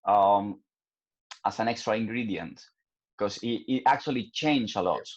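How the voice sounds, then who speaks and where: A man from Ecuador, a chef on a panel, speaking haltingly with many restarts. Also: fairly low pitch, lo-fi sound.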